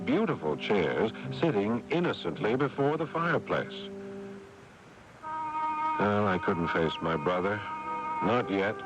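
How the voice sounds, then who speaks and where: An actor playing a world-weary, exasperated older brother who narrates a 1980s radio drama with brooding guilt, in dramatic delivery. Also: male voice, on the low side, lively intonation, measured pace, pauses often, over loud music. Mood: rueful, confessional. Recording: dull lo-fi AM radio broadcast.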